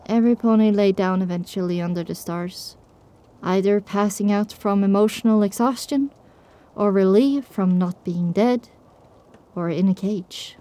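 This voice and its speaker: A woman's voice: medium pitch, unhurried, mellow. A woman reading scripted first-person story narration for an audio drama.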